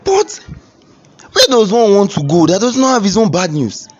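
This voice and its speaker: Exasperated Nigerian grumbler, radio drama, high-pitched, complaining, muffled lo-fi sound. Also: male voice, normal speed, slight background noise. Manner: animated, complaining. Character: exasperated, gloomy everyman grumbling to his friend. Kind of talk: radio drama acting in Pidgin. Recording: dull, lo-fi sound.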